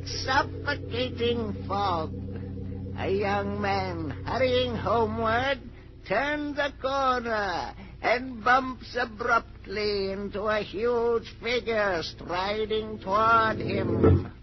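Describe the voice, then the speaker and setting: An actor playing an ominous, grave storyteller, narrating a 1940s radio horror drama. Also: male voice, high voice, extremely slow. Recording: muffled, noisy 1940s radio recording.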